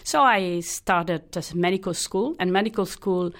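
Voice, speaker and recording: A middle-aged woman with an Italian accent, a hematologist guest in a radio interview, speaking thoughtfully with many pauses and fillers. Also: fairly low pitch. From Italy. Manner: thoughtful, pausing with frequent uh fillers.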